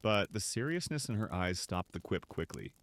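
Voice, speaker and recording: A man narrating a scripted story for an audio drama in an expressive, dramatic way. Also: lowish voice, moderate pace. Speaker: narrator and voice actor.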